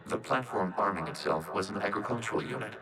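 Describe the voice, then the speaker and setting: A man voicing a calm, logical robot in a video game, speaking formally and precisely through a synthetic filter. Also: on the low side, a little hiss or hum. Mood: matter-of-fact. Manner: measured, formal, precise, clinical. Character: calm, logical male robot of a networked AI race. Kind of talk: video game character acting.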